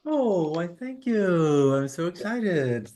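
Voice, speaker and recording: Male reader, fairly high voice, very unhurried, playful and halting. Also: sing-song, mellow. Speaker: open mic reader. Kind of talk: informal introduction to a reading. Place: online video gathering.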